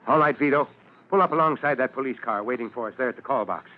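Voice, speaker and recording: A man's voice: mid-range voice, quick pace, close to an everyday voice. A man playing a terse, determined fire chief who speaks with authority in an old-time radio drama. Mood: grimly determined. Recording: muffled, faintly noisy vintage radio recording.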